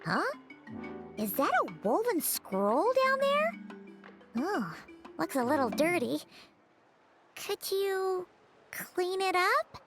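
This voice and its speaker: A woman voicing a small, excitable fairy companion in a video game, childlike, squeaky and full of exclamations. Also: slow pace, long pauses, full character voice. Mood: curious. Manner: exclamatory, expressive. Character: small excitable female floating fairy companion, curious and easily astonished. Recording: loud background music.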